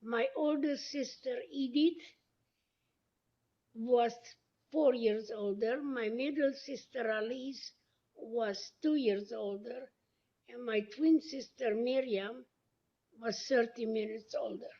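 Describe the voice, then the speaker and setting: A woman's voice: on the high side, takes it slowly. An older woman from Romania, giving matter-of-fact recorded testimony answers with idiosyncratic grammar. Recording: dull lo-fi archive clip.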